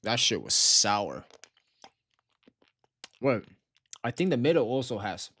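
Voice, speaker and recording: A man's voice: mid-range voice, sing-song, slow pace, fairly bright tone, breathy edge. A tired young man from Taiwan, chatting to viewers on a livestream in slangy, swearing speech. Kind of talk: casual livestream chat.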